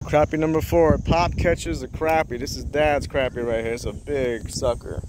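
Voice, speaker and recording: A man narrating his fishing trip from a small boat on a lake, chatty and excited, talking straight to the camera. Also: mid-range voice, normal speed. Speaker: fishing vlogger. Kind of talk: casual narration while fishing. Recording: faint outdoor noise.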